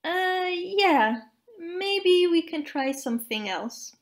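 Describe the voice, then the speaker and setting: A woman voicing a kind, upbeat young heroine in a children's storybook, in a gentle, encouraging manner. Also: very high voice, expressive, takes it slowly, pitched above the performer's own voice, heavily acted. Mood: unsure.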